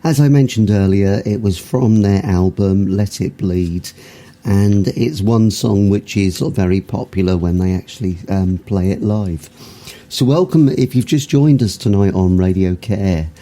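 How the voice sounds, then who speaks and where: A man's voice: lowish voice, unhurried, dark tone. A man hosting a radio music show, talking casually with a sore throat and a rambling, filler-heavy manner. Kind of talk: casual radio presenting between songs.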